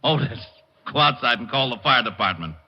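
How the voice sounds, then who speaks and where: Animated, needling detective's pal, vintage radio. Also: male voice, medium pitch, fairly quick, breathy edge. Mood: brisk, bossy. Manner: mocking, chuckling, wisecracking. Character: teasing male police friend of a private detective, amused and needling. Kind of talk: 1940s radio detective drama acting. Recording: muffled vintage radio recording.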